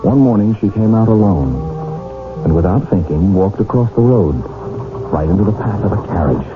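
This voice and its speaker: A low male voice with lively swings, an actor as an earnest, lovestruck English gentleman in a period radio play. He is courteous and romantic, telling his tale like a storyteller. The sound is thin and narrow, like an old broadcast, with music behind. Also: moderate pace, natural voice. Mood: wistful. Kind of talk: period radio drama acting.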